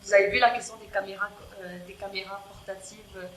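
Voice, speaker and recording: A woman's voice: mid-range voice, fairly even intonation, fast talker, a bit bright. A woman who is not a native French speaker, talking casually with many fillers and restarts in an interview. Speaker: guest, EDI worker in tech. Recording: roomy with faint background noise.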